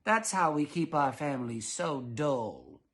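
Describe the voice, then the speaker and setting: A man acts out a dull father from a children's storybook. He speaks slowly and carefully, stretching out his words. He is easily alarmed by anything interesting and craves boredom. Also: a little high, expressive, fairly bright tone. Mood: dull satisfaction. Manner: slow, drawn-out, measured.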